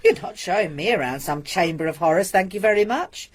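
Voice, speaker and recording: A woman's voice: fairly low pitch, lively intonation, fairly quick, slightly rough. An actress playing a prim, anxious, middle-aged landlady in a radio drama, easily flustered. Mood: prim disapproval.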